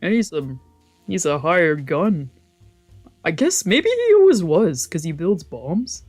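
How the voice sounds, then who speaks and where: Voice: medium pitch, sing-song, takes it slowly, faint music behind. A streamer of a visual novel reading the text aloud and adding casual, wry asides.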